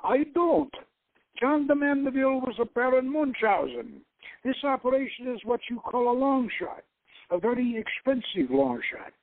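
A man's voice: very high voice, expressive, moderate pace, no echo, pitched above the performer's own voice, heavily acted. A man reading the part of a cold, cynical intelligence director in an adventure novel, over a thin phone-like line. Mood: dismissive, commanding. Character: cold, cynical male intelligence director who despises America. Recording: thin phone-like radio sound.

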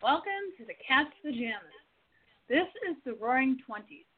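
A woman's voice: high voice, lively intonation, normal speed. A woman reading scripted narration and stage settings for a radio play. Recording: tinny narrow-band phone-like audio.

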